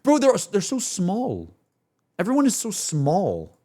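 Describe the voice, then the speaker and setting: A man's voice: sits high, wildly swooping pitch, a little slow, warm tone, a little hiss or hum. A man streaming his casual, exclamatory reactions to game footage, using slang and repeating his phrases. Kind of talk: casual excited live reaction.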